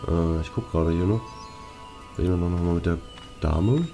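Let's play host, male, very low and dark voice, laid-back, casual muttered commentary over soft game music. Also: normal speed. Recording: faint game music behind.

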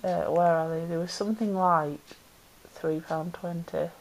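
A woman's voice: fairly low pitch, steady tone, a little slow, low-quality recording. A woman chatting casually as she unboxes pet supplies at home, a little congested from a cold and rambling with ums. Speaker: pet vlogger. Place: indoors at home, camera pointed down into a box.

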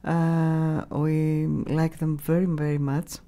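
A middle-aged woman with a Greek accent, giving halting, unscripted interview answers as she searches for words. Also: deep voice, level tone, dark tone. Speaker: radio station staff member, interviewee. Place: small room, radio studio. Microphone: studio mic on boom arm.

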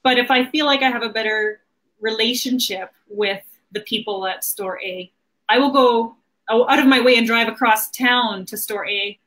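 A woman with a fairly high voice hosts a casual online conversation. She talks informally, in a conversational way, as someone in her thirties chatting over a video call. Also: moderate pace, fairly bright tone, low-quality recording. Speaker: host of a marketing livestream. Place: small home office indoors.

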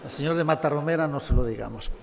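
An older man from Catalonia giving a formal award acceptance speech in a measured manner with touches of humor. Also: on the high side, vocal fry, a little hiss or hum. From Catalonia, Spain. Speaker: award recipient. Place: large gala hall. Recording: long reverb of a hall.

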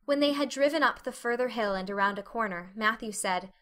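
A female audiobook narrator with a bright, crisp-edged voice. She reads literary prose expressively, in a measured storytelling manner. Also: fairly high pitch. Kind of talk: dramatic scripted narration of a novel.

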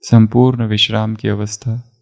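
A man guiding a relaxation meditation as an instructor, giving calm, measured instructions with long pauses. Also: lowish voice, vocal fry. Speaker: yoga nidra meditation instructor.

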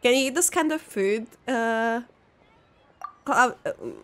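A woman streamer giving excited, exclamatory live reactions to a game story with drawn-out responses. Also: a little high.

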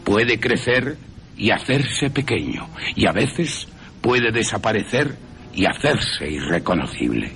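A man with an accent from Spain narrating a horror story for radio in a theatrical, suspenseful way with long pauses. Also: on the low side, very animated, a bit bright, slight background noise. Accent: Spanish from Spain. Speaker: radio drama narrator and presenter. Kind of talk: dramatic scripted horror narration.

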